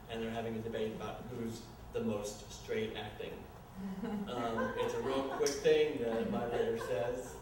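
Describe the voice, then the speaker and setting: A man informally narrating stage directions at a live reading, explaining the action in a halting way. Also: medium pitch. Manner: halting, explanatory, apologetic asides. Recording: distant, very echoey room, noisy ambience.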